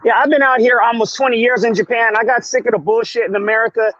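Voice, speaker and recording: A man's voice: very high voice, fairly even intonation, fast talker, fairly bright tone, no echo. A man in his forties calling in to a livestream, laid-back and blunt with some profanity. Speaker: caller. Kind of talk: casual call-in chat. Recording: dry, dull lo-fi call audio.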